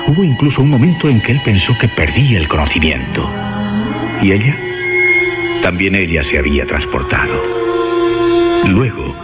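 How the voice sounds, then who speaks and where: An actor playing the grave narrator of a suspense radio play, a man telling it in a measured, ominous way. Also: deep voice, very animated. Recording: reverberant, dull radio sound with music.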